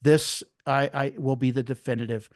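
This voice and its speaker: A man's voice: medium pitch, normal speed, no echo, studio quality. Rambling casual male podcast host. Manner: relaxed, rambling with restarts and fillers. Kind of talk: casual interview chat.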